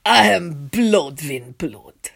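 A woman voicing a cruel, ancient male vampire prince in a storybook, speaking in a cold, rough, slow voice. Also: low voice, lively intonation, fairly bright tone, voice pushed down, full character voice. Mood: menacing.

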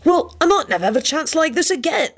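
A woman's voice: on the low side, expressive, fairly quick, lightly acted. Lady doing a nerdy earnest young guy in a story, exasperated, kinda impatient, very up n down. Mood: eager, pleading. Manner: weary, pleading, impatient. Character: bookish, earnest, easily exasperated young man.

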